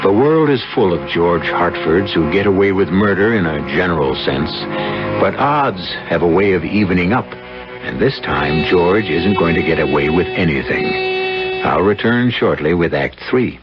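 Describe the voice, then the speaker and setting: An actor as the ominous, wry host of a 1970s radio mystery, narrating in a theatrical, portentous way. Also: male voice, deep voice, big pitch swings, normal speed. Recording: dull vintage radio broadcast with music.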